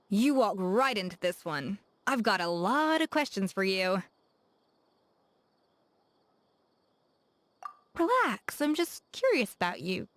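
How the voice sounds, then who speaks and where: Actress as a confident, curious young woman chef for game voice lines; playful and proud, middle pitch, faint music bed. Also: natural voice. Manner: self-assured, teasing, lively.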